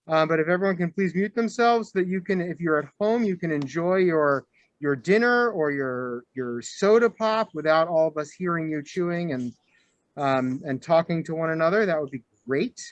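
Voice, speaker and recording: A man's voice: a little high, animated, normal speed, no room sound, highs cut off. A man hosting as rabbi, introducing a speaker on a video call in a chatty, hesitant way with many fillers. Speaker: rabbi and host. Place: room with people behind him. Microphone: camera.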